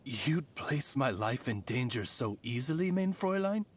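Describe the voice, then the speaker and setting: A man's voice: mid-range voice, very animated, moderate pace, breathy edge. Courtly, dry-witted male familiar. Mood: dry, wry. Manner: formal, deferential, dryly wry. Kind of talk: video game character voice lines.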